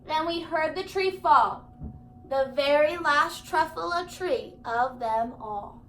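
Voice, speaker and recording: High-voiced woman reading a storybook aloud. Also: slow. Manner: animated, dramatic, emphatic. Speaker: elementary school educator reading aloud. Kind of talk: expressive children's storybook read-aloud. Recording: echoey room, clear background noise.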